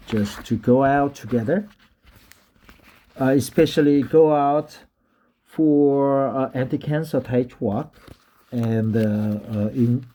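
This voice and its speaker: A man with a Korean accent, a native Korean speaker practicing English in a casual vlog, slightly tipsy and halting, full of hesitation fillers. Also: fairly high pitch, darkish tone. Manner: halting, many drawn-out fillers. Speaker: English learner vlogging. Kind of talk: casual talk and reading aloud.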